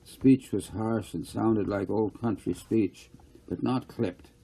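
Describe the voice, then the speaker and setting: A man reading his own novel aloud as scripted audiobook narration, an unhurried storyteller who stumbles now and then. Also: on the low side, creaky, dark tone, breathy edge, rough recording.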